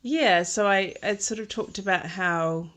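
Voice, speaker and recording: A woman's voice: mid-range voice, unhurried, fairly bright tone. A woman, a podcast guest talking through her career in a thoughtful way, with many ums and restarts. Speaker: podcast guest, textile designer. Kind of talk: casual interview conversation.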